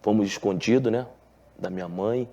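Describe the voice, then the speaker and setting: A man's voice: fairly low pitch, normal speed, warm tone, lo-fi sound. A man with a Carioca accent, a former footballer telling his story in a TV interview, earnest, with many filler tags and restarts. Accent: Carioca Portuguese. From Rio de Janeiro, Brazil. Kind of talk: relaxed TV interview.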